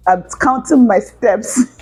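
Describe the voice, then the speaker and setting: A woman's voice: fairly high pitch, slow pace, a little mellow, husky, faint music behind. A woman in her thirties chatting earnestly to camera, giving lifestyle advice with a teasing, laughing manner. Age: 30s. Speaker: lifestyle vlogger. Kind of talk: casual advice monologue to camera.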